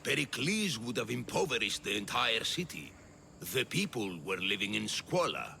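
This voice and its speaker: An actor playing a scheming, self-righteous political demagogue in a video game, gravelly and theatrical, with a condescending manner. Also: male voice, fairly low pitch, very animated, normal speed, bright, forceful tone, a little hiss or hum, full character voice. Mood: self-justifying. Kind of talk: video game cutscene acting.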